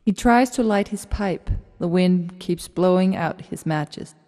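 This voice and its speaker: A woman's voice: on the low side, unhurried, warm tone, lightly acted. A woman narrating stage directions for a radio drama in short, scripted, matter-of-fact sentences, with a reverberant sound. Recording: long reverberant hall echo.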